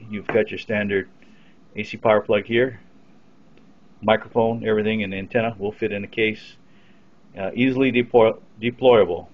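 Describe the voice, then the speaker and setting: A man with a fairly low voice talks through a piece of equipment in an unscripted, matter-of-fact way. He sounds like a hobbyist giving a practical demonstration. The sound is dull and lo-fi, as from a simple camera microphone. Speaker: amateur radio hobbyist demonstrating his equipment.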